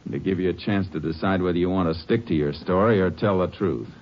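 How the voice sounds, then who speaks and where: An actor playing a firm, clipped Texas Ranger who also narrates a 1950 radio drama. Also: male voice, deep voice, fast, lightly acted. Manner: firm, clipped, matter-of-fact. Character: steady, tough male Texas Ranger. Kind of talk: 1950s radio drama acting. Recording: dull lo-fi 1950 radio transcription.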